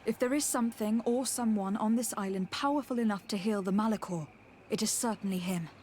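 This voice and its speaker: An actress playing a composed young noblewoman diplomat in a video game, speaking in a measured, formal, earnest way. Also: medium pitch, darkish tone, slight background noise, lightly acted. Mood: pensive. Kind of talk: video game cutscene acting.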